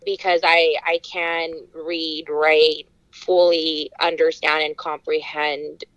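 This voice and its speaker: Woman, from California, slightly low pitch, casual storytelling, podcast guest, dry sound. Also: little pitch movement, slow, fairly bright tone, smooth, no echo, lo-fi sound. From California, United States.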